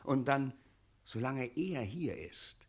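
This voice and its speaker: An actor playing a middle-aged town father in a radio play, resigned and self-satisfied, explaining things placidly in a gravelly, husky voice. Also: medium pitch, lively intonation, slow pace, exaggerated voice. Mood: smugly defensive. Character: resigned, complacent townsman father defending the town's tyrant. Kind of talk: theatrical radio play acting.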